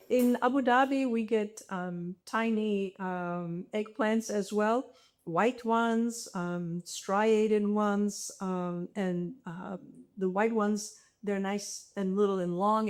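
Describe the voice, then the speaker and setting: A woman from Turkey talks through a cooking demonstration from her home kitchen. She speaks slowly and in a friendly, hesitant way, often pausing on "um". It comes through a video call. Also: medium pitch, steady tone, a little mellow, smooth. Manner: hesitant, many ums, friendly. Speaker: home cook presenter.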